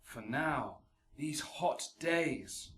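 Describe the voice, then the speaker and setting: An actor playing an anxious, sensible young peacemaker in a Shakespearean radio drama, measured and pleading. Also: male voice, a little high, animated, a bit bright, breathy edge, heavily acted. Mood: wary.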